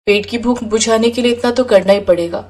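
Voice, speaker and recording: A woman's voice: fairly high pitch, a little fast, darkish tone, natural voice. A woman voicing a poor, devoted young wife and mother in a moral story, speaking in an earnest, plaintive way. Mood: resigned.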